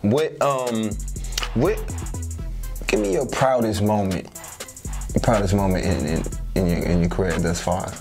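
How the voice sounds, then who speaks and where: A man's voice: lowish voice, expressive, a little slow, gravelly, pauses often, darkish tone. A man hosting a casual interview, asking questions in an easygoing way and often repeating words. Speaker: interviewer, host. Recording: music playing behind.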